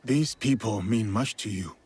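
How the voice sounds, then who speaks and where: An actor playing a grave, courteous samurai in a video game, speaking in a formal, measured and reserved way. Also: male voice, lowish voice, darkish tone, breathy edge. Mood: calm resolve. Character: grave, courteous samurai warrior, man, loyal and dutiful. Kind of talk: video game cutscene acting.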